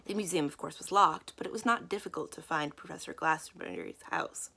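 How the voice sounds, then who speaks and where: A woman's voice: low voice, big pitch swings, fairly quick, creaky, pushed and bright. A woman reading a children's book aloud as a storyteller, in scripted narration.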